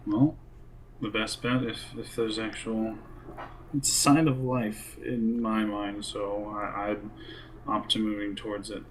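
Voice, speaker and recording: A man's voice: fairly low pitch, flat delivery, a little slow, a little hiss or hum, low-quality recording. A man playing a role-play game, chatting casually and thinking aloud in a hesitant way.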